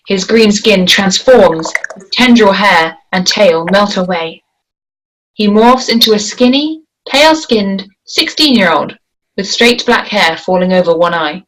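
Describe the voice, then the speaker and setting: A woman reading stage directions aloud at a script table read, in a deliberate, measured way. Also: medium pitch, a little hiss or hum, rough recording.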